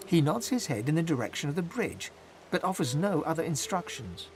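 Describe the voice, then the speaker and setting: An actor narrating a fantasy video game in a measured, literary, solemn style. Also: male voice, fairly high pitch, big pitch swings, slight background noise. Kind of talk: scripted narration.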